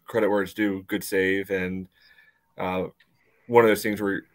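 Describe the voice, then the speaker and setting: A man's voice: sits low, flat delivery, measured pace. A middle-aged man rambling casually about sports on a video call. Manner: rambling, peppered with "you know". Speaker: sports podcast panelist. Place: indoor room on a video call. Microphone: video call microphone.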